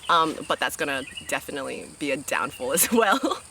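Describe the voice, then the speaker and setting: A woman's voice: low-pitched, lively intonation, fairly quick, pushed and bright, slight background noise. A woman, chatting casually as a reality show contestant, upbeat with lots of fillers and restarts. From Australia. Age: 20s. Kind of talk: casual interview answers.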